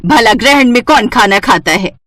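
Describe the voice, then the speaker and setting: A woman's voice: on the high side, animated, normal speed, fairly bright tone, dry recording. Woman acting a nagging old-fashioned mother-in-law, dry recording. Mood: indignant scolding. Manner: nagging, disapproving, exclamatory. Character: scolding, old-fashioned older mother-in-law in a Hindi family cartoon. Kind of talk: cartoon story voice acting.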